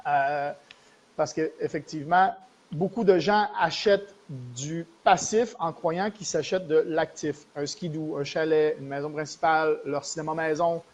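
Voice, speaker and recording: High-voiced Québécois man hosting. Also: measured pace, muffled recording. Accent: Québécois French. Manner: explanatory, addresses viewers directly, thanks them for likes. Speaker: host of a live stream show. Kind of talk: casual live-stream discussion on money.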